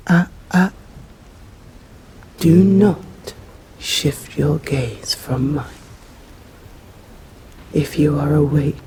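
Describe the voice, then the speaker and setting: A woman with an English accent playing a stern, courteous female vampire in an ASMR roleplay, speaking formally and deliberately in a breathy voice that often drops to a whisper. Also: sits low, heavy background noise. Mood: commanding. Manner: slow, deliberate, formal, menacing.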